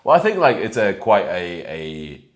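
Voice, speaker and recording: A man's voice: deep voice, big pitch swings, a little slow. A man co-hosting a podcast in casual chat, joking and hesitant with many fillers. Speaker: podcast co-host.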